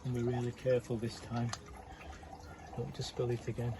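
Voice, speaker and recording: A storyteller voicing an excitable male tax collector who is nervous, breathless and full of apologies. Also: medium pitch, moderate pace, background noise, voice pushed down, close to an everyday voice.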